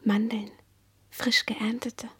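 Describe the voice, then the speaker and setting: Breathy, whispering, childlike dreamer. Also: female voice, a little high, little pitch movement, takes it slowly, full character voice. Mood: dreamy, intimate. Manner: hushed, wistful, intimate. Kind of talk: German radio play acting.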